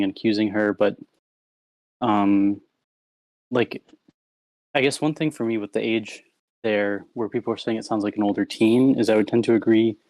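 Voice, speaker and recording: Low-pitched man giving casual, diplomatic feedback. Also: a little mellow, no room sound. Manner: hedging, diplomatic. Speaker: participant giving script feedback. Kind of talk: casual spoken critique. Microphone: online meeting microphone.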